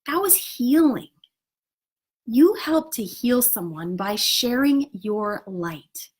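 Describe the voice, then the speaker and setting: A woman's voice: on the high side, sing-song, slow, breathy edge. Stuffed-up woman coaching, nasal and earnest. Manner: earnest and encouraging, with frequent "you know" and "right?". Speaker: spiritual coach. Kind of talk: casual motivational talk to an online group.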